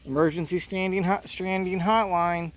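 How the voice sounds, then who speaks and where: A man reading a play aloud, voicing a brisk, helpful emergency hotline operator. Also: high voice, steady tone, voice pushed up, close to an everyday voice. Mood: brisk concern.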